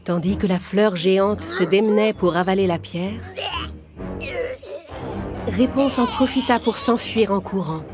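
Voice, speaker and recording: A woman's voice: mid-range voice, a little slow, long pauses. A woman storyteller reading a scripted children's fairy tale. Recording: music behind the voice.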